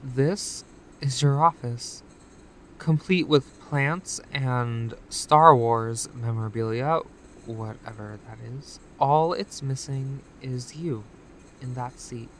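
An actress playing a calmly manipulative female recruiter in an audio drama, with a measured, condescending delivery. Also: extremely low pitch, slight background noise, poor audio. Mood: coaxing.

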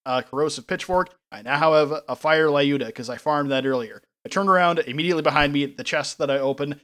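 A man co-hosting a casual podcast, telling animated stories and laughing as he talks. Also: a little high, brisk. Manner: animated storyteller, laughs through his stories. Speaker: podcast co-host. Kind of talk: casual chat.

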